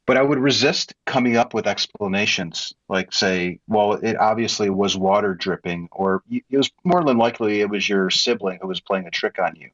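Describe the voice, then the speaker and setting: A man co-hosting an online audio room, casually explaining tips with a relaxed manner. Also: lowish voice, normal speed, few pauses, no room sound, dull audio. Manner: relaxed, explaining with many likes. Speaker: co-host of an audio chat room. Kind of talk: casual advice talk.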